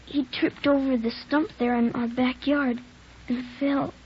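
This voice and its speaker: A scared nine-year-old boy in an old radio drama, earnest and close to tears. The voice is high and young. The sound is dull and muffled, like a vintage broadcast. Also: fairly even intonation, unhurried, husky, heavily acted. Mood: tearful. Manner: pleading, earnest. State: tearful. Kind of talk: 1940s radio acting.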